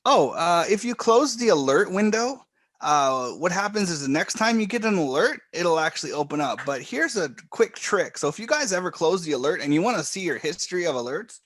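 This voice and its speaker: A man calmly walking viewers step by step through charts and trading software on a live stream. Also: high-pitched, fairly quick, no room sound. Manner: calm, explanatory, step-by-step. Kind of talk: live screen-share trading walkthrough.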